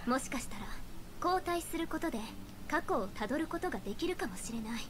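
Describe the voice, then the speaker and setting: A woman's voice: sits high, animated, background noise. A woman playing a composed, commanding young female seer in an anime game, speaking formally. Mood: serious, deliberate. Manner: formal, measured, authoritative. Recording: game music behind the voice.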